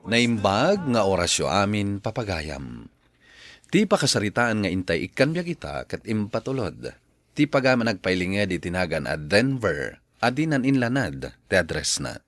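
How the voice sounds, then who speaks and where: A low-pitched man presenting a radio drama, with big melodic swings in his delivery. He announces formally and theatrically, reading scripted narration in a dry studio. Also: big pitch swings, normal speed, a bit bright, dry recording.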